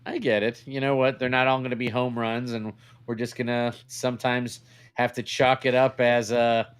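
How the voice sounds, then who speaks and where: A man's voice: mid-range voice, level tone, normal speed, clear, resonant tone. Dry, skeptical man chatting. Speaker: podcast co-host. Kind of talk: casual chat.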